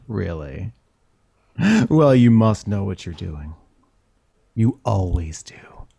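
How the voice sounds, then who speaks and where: A man's voice: low-pitched, expressive, very slow, gravelly, pauses often, warm tone, slightly rough, full character voice. A man playing a starship captain's languid, teasing lover in a science fiction audio drama, speaking intimately. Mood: sly, coaxing.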